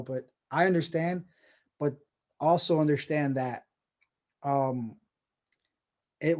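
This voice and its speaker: A man's voice: on the high side, a little mellow. A man hosting a casual video call session, rambling and encouraging with many restarts. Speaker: host of a personal branding course.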